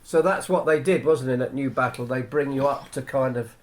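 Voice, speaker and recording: A man's voice: mid-range voice, brisk. A man hosting a podcast and interviewing a guest, encouraging her with short affirmations. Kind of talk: friendly interview.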